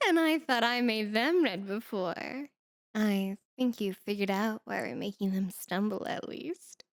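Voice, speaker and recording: A woman's voice: mid-range voice, takes it slowly, dry recording, studio quality. A woman voicing a playful, doting, mischievous demon who coos and teases in a flirty ASMR roleplay. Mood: intrigued, teasing. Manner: cooing, teasing, mock-sweet delivery.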